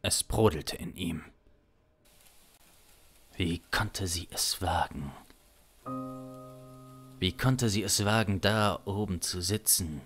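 A young man improvising dramatic audio drama narration in a brooding, deliberate, suspenseful manner, close on a studio microphone. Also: low voice. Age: young adult. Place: small home studio, indoors. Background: instrumental music.

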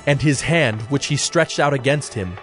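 A man narrating a dramatized Bible in a measured, solemn storytelling style. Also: medium pitch, fairly bright tone, soft background music.

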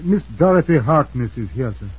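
Very raspy, deferential manservant. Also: male voice, medium pitch, expressive, moderate pace. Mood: polite. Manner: formal, hesitant. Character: deferential, fretful, formal male household servant. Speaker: supporting actor. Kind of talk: old-time radio drama acting. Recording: muffled old radio recording.

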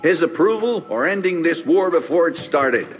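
An actor playing a stern older institute director in a game, pompous and oratorical. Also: male voice, fairly high pitch, lively intonation, moderate pace, soft background music, close to an everyday voice. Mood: stern, persuasive. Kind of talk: video game cutscene acting.

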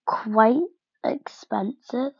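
A woman's voice: on the high side, drawn-out words, creaky, a little mellow, husky, no room sound. A woman casually narrating while she decorates a game house, thinking aloud with many fillers and hesitations. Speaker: gaming vlogger. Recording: dull lo-fi home mic.

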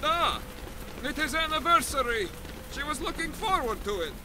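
A man's voice: extremely high pitch, big pitch swings, normal speed. A man playing a wagon driver in an audio drama, speaking in old-fashioned thee-and-thou talk. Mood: pleading. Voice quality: old-fashioned speech, pleading then mocking. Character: Russian wagon driver Ivan, stubborn working man. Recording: heavy rain noise behind.

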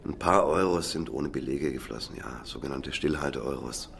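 A man's voice: very deep voice, moderate pace, vocal fry, a bit bright, heavily acted. An actor playing a cynical, defensive middle-aged businessman under interrogation in a radio crime drama, self-justifying and swinging between muttering and heated outbursts. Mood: subdued, dismissive. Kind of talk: naturalistic German radio drama acting.

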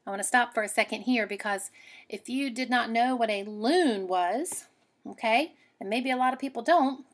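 Higher-voiced woman teaching children. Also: normal speed. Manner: expressive, explanatory, addressing children. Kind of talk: children's read-aloud lesson.

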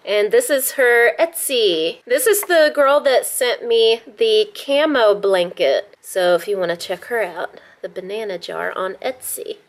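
Woman, casual vlog chat, reads cards aloud, slow talker, slightly high voice. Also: lively intonation. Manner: chatty, shows things and reads them aloud. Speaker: vlogger.

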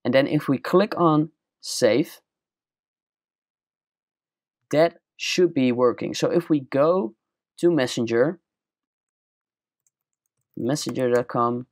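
A young man with a Dutch accent walking through a screen-recorded software tutorial step by step, in a plain explaining manner. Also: mid-range voice, takes it slowly, long pauses, dry recording, clean studio sound. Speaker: tutorial presenter.

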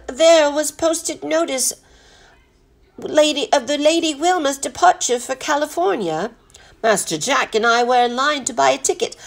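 A courteous butler from a storybook adventure voiced by a woman narrator. He is formal and unflappable, with lively intonation and some creak in the voice. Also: extremely high pitch, voice pushed up, full character voice. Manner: formal, polite, composed.

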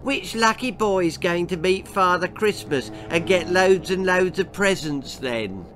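A man's voice: high voice, measured pace, soft background music, pitched above the performer's own voice. An older man voicing a mean young girl who taunts and goads with smug spite in a children's storybook reading. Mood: sly goading. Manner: smug, goading, loud.

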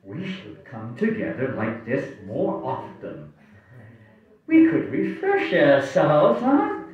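A smug, falsely genial king acted by a man in an amateur stage reading. He speaks slowly, with huge swings of pitch and theatrical condescension. The sound is distant and very echoey, as in a hall. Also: wildly swooping pitch, phone-quality sound, heavily acted. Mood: jovial. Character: smug, falsely genial scheming royal brother and gambler. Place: wood-panelled hall, indoors.

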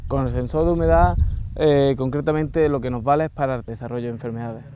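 A man's voice: mid-range voice, steady tone, quick pace, dark tone, slight background noise. A young man with a Spain accent giving a technical explanation as an agricultural technician. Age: young adult. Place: outdoors beside olive rows.

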